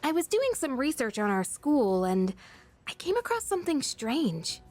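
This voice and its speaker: A woman voicing a frank, inquisitive teenage schoolgirl in a video game, talking in a casual, expressive way. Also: high-pitched, moderate pace, soft background music. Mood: tentative, curious. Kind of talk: English video game voice acting.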